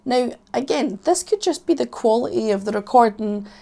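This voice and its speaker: A woman's voice: a little high, animated, normal speed, gravelly, mellow. A Scottish woman in her thirties, a vocal coach giving a casual music reaction with sarcastic, wry asides, while losing her voice. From Scotland.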